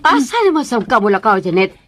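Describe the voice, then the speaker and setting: A woman's voice: medium pitch, expressive, fast talker, fairly bright tone, slight background noise, lightly acted. An actress playing a curt, impatient mother and mistress of the house in a radio drama, brusque and snappish. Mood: stern, annoyed.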